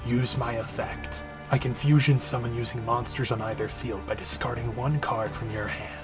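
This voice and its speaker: A man playing a calm, reassuring spirit guide in an anime-style card battle roleplay, measured and solemn. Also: mid-range voice, music in the background, lightly acted. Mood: calm, guiding.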